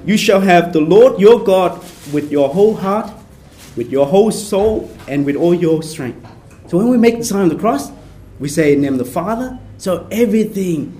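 A soft-spoken middle-aged man from Vietnam leads a prayer workshop for a small group, talking casually from his notes. His voice sits a little high. A faint hiss of room noise runs under him. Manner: soft-spoken, relies on notes, chatty asides. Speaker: Capuchin priest leading a prayer workshop. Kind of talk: informal prayer workshop talk.

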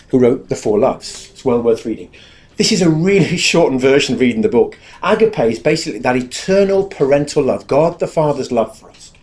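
A man's voice: mid-range voice, darkish tone. An English man with a big, carrying voice, giving a chatty, self-deprecating talk to a live audience and addressing the room directly.

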